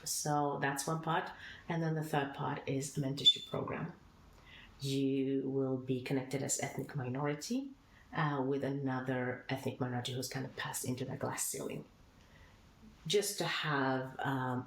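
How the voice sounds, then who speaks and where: Woman guest from Sudan, low voice, sincere and halting, roomy recording. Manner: earnest, advisory, speaks in short halting phrases. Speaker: guest, founder. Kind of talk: podcast interview answers. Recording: echoey room, faint noise.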